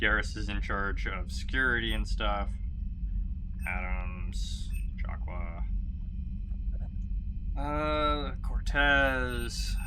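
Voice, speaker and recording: A man's voice: fairly low pitch, extremely slow, long pauses, noisy background. A man streaming a video game, making casual, joking, chatty asides to viewers. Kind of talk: casual gameplay commentary.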